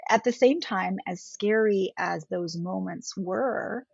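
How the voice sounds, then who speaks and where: A middle-aged woman whose first language is German, speaking as a political scientist guest on a podcast in a thoughtful, measured way. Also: medium pitch, gravelly, husky, no echo. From India. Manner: thoughtful, measured, often rephrasing. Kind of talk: podcast interview. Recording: dull lo-fi podcast audio.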